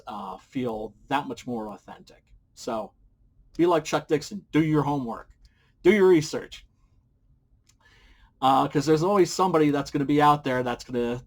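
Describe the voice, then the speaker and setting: Casting: male presenter for a casual tutorial, mid-pitched, conversational and digressive, feeling his way through each point. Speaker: comics writer giving a how-to talk. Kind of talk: informal instructional talk to camera.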